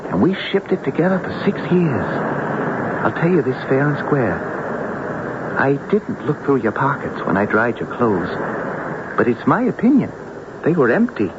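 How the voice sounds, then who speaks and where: A man's voice: medium pitch, very animated, normal speed, long pauses, lightly acted. An actor playing a plain-spoken, teasing young fisherman in folksy, salty radio drama style. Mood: indignant, blunt. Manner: folksy, salty seafaring talk with ain't and afore. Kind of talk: old-time radio drama acting. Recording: muffled, noisy vintage radio recording.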